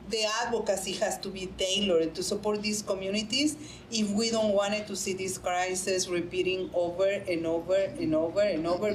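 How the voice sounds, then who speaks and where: A woman's voice: mid-range voice, roomy sound, poor audio. A woman panelist answers at a table mic in a conference room. She talks earnestly and drives points home by repeating them. The room rings a little, with a faint hum behind her. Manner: earnest, repeats phrases for emphasis, many restarts. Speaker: panelist, nonprofit director.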